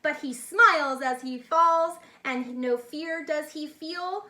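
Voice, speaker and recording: A woman reads aloud to young children in a high, bright voice. Her delivery is slow and deliberate but animated, like a teacher sharing a picture book and pausing to explain things to her listeners.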